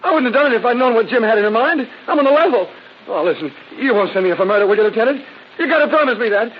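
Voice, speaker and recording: An actor playing an evasive, cornered crook under interrogation in a defensive, pleading 1930s radio style. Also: male voice, very high voice, expressive, rapid-fire. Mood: pleading, anxious. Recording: muffled, noisy vintage radio recording.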